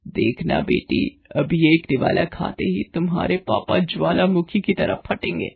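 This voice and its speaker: A woman voicing a scheming, sharp-tongued middle-aged mother-in-law in a cartoon, with a scornful, biting manner. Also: on the low side, big pitch swings, a little slow, creaky, husky, narrow, tinny audio, exaggerated voice. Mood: gloating.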